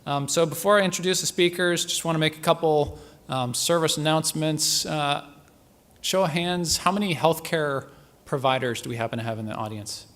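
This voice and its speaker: A man opens a conference session and introduces the speakers. His voice sits a little high for a man, and his delivery is halting and full of fillers. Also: fairly bright tone. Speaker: session host.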